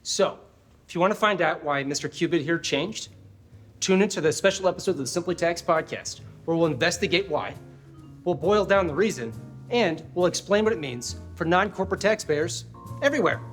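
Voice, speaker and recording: A man hosting a podcast, speaking in a friendly, promotional way with a husky voice. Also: a little high, expressive, brisk, fairly bright tone, faint music behind. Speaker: podcast host.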